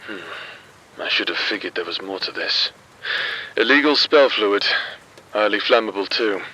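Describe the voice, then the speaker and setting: A man playing a sardonic, world-weary private investigator in a fantasy noir audio drama, with a dry, formal, deadpan delivery. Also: on the low side, unhurried, slight background noise, low-quality recording. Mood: dry, resigned. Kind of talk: noir audio drama acting with scripted monologue. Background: background music.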